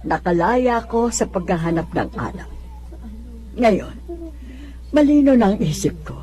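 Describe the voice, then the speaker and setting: A woman's voice: medium pitch, animated, unhurried, dark tone, close to an everyday voice. An actress playing an emotional, earnest poor neighbour woman, an ailing former drinker, in a radio drama. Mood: earnest conviction.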